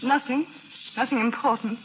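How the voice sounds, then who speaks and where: A woman's voice: on the high side, fairly even intonation, normal speed, close to an everyday voice. An actress playing a troubled, hesitant woman in love in 1930s radio drama style. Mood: hesitant, tender. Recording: muffled, noisy 1930s radio recording.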